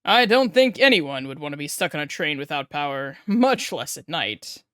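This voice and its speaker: A woman's voice: deep voice, sing-song, moderate pace, fairly bright tone, no room sound, studio quality. A woman playing the poised host of a late-night paranormal radio show, with a theatrical, intriguing, scripted delivery. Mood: intrigued, mysterious.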